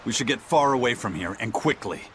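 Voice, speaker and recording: A man's voice: mid-range voice, animated, fairly quick, a bit bright, a little hiss or hum, natural voice. An actor playing a wary male adventurer in a video game cutscene. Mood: grave. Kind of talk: animated game cutscene acting. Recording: background music over dialogue.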